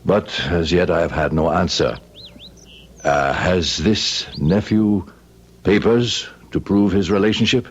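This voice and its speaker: An actor playing a grave, pious Father Superior in an old-time radio drama, speaking in a solemn, measured, formal way. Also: low-pitched. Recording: dull, hissy vintage radio recording.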